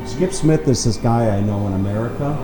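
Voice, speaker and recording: A man giving an upbeat, chatty walking tour of a hotel, often pausing with "uh" and repeating himself. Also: lowish voice, over music. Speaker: travel vlogger touring a hotel. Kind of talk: casual walking tour narration. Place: hotel breakfast hall and lobby, indoors.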